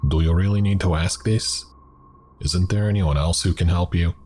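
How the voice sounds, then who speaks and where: A man voicing an excitable, persuasive Russian man with a thick accent in a narrated story. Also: sits low, normal speed, slight background noise, lightly acted. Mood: earnest.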